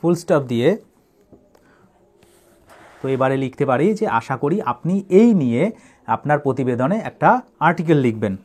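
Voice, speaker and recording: A man's voice: a little high, expressive, normal speed, pauses often, a little mellow, husky. A man teaching English writing, dictating in short separated phrases and then explaining them.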